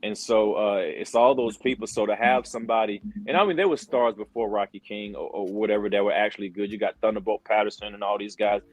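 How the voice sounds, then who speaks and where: A man's voice: lowish voice, a little fast, few pauses, no echo, poor audio. A man in his forties, a podcast guest, chatting casually and telling stories with many fillers and repeated words. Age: 40s. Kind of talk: casual chat.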